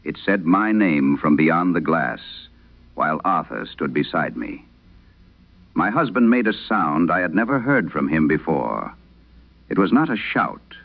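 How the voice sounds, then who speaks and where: Very deep, tinny vintage radio horror narrator man. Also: very animated, heavily acted. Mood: ominous, tense. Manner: measured, solemn, deliberate phrasing. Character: late-night radio host gravely reading a frightened mother's letter. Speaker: horror audio drama host reading listeners' letters. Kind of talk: scripted horror storytelling, vintage radio drama. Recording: tinny band-limited old radio sound.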